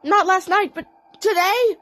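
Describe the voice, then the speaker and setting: A woman's voice: very high voice, unhurried, sharp tone, dry recording, voice pushed up, exaggerated voice. A woman voicing a grumpy, squeamish cartoon cat with a dramatic, grumbling delivery in an audio play. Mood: appalled.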